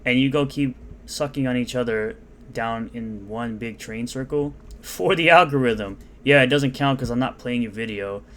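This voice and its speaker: A man's voice: medium pitch, normal speed, fairly bright tone, a little hiss or hum. A man reading out and mocking comments in an unscripted, sarcastic rant. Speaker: commentary YouTuber.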